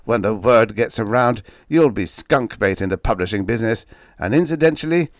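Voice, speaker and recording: A man's voice: lowish voice, lively intonation, moderate pace, no echo, studio quality, lightly acted. A man voicing an angry male editor who mocks the caller. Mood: mocking. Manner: mocking, sneering.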